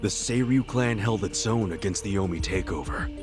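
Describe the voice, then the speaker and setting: A man playing a gutsy, righteous, hot-headed low-ranking yakuza in a game cutscene, blunt and streetwise in his talk. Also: animated, moderate pace, music in the background, lightly acted. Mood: reproachful. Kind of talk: dramatic game cutscene voice acting.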